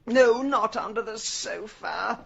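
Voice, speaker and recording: An actress playing a sharp-tongued, determined middle-aged London woman in a radio drama, brisk and sardonic. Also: a little high, gravelly, dull audio, slight background noise. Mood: coaxing. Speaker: actor in lead role.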